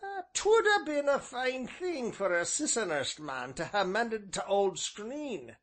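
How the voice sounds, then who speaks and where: A man's voice: sits high, big pitch swings, a little slow, fairly bright tone, voice pushed up, exaggerated voice. A man voicing a gossipy old village elder with a drawling, quavering rural southern English dialect. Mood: wistful, musing.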